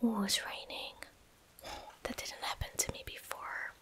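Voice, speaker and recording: A young woman doing soft-spoken ASMR gameplay commentary, gentle and breathy, close on a studio microphone. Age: young adult. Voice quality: breathy, whispered. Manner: gentle, unhurried, casual. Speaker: ASMR game streamer. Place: indoor streaming room.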